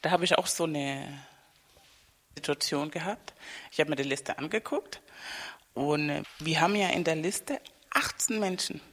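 A woman co-hosting a radio chat, telling anecdotes in a lively, casual way. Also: sits low, unhurried, long pauses, big-room sound. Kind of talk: casual conversation, storytelling.

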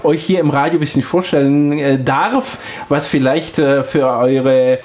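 A man hosts a casual radio interview, his voice sitting a bit high. He talks haltingly, stopping often for fillers as he works out his questions. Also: darkish tone. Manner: hesitant, many fillers. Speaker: radio host.

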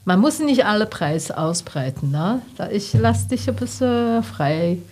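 Woman interviewing in halting. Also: mid-range voice, expressive, a little mellow.

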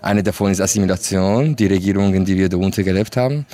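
A man's voice: low voice, fast talker, dark tone. A man, talking as a guest in a casual, hesitant way with many fillers and laughs. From Kurdish region of Iran. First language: Kurdish. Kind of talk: casual interview talk.

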